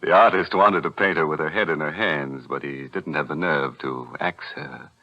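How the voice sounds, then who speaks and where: An actor playing a mock-sinister, tongue-in-cheek horror host on a 1940s radio show. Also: male voice, rumbling low, moderate pace, pushed and bright, full character voice. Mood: gleefully macabre. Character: ghoulish, gleefully punning male horror host. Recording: muffled old radio recording, music behind.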